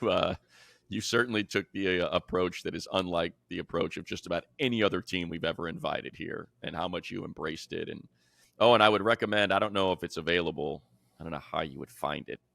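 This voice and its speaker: A man's voice: sits low, vocal fry, a bit bright, dry recording, studio quality. A man hosting a football show, telling stories in a casual, enthusiastic, colloquial way. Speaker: sports show host and football play-by-play announcer. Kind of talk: casual sports talk, animated storytelling.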